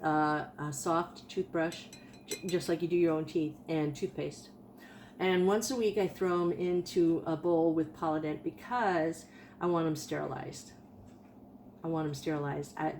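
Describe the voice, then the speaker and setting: Late-fifties woman vlogger, chatty and rambling, slightly low voice. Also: darkish tone, a little hiss or hum. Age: 50s. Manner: chatty, rambling, with frequent restarts. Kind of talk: casual personal testimonial.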